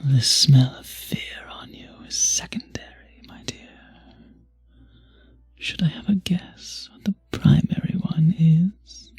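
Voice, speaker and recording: A man voicing a charming, seductive vampire in a romantic ASMR roleplay, husky and breathy with a courtly, teasing manner, often dropping to a whisper. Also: on the high side, a little mellow, exaggerated voice. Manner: slow, courtly, teasing, flirtatious. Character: charming, seductive male vampire at a masquerade ball.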